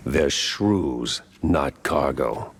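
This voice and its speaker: A man voicing a world-weary, wisecracking noir detective mouse in a game, deadpan, with an American accent. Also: very deep voice, drawn-out words, husky, exaggerated voice. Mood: hard-boiled. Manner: deadpan, dry.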